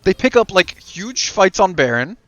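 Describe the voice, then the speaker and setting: A man from Israel casting a live esports match, calm and dry in his play-by-play. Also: high voice, moderate pace, no echo, dull audio. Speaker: esports caster. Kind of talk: live game commentary.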